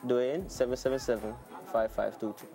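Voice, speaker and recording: A man's voice: mid-range voice, fairly even intonation, moderate pace, warm tone, soft background music. A man co-hosting, playing a customer calling about a data problem.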